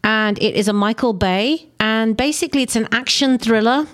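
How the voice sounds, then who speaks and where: A woman's voice: a little high, normal speed, a bit bright, clear, resonant tone. A woman co-hosting a film podcast, chatting and teasing casually as she recommends films. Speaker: podcast co-host.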